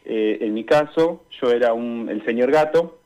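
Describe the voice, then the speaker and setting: A man's voice: mid-range voice, moderate pace, darkish tone. A young man with an Argentine Spanish accent giving casual interview answers as a guest over a call. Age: young adult. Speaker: student guest, radio drama performer. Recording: dull, lo-fi call audio.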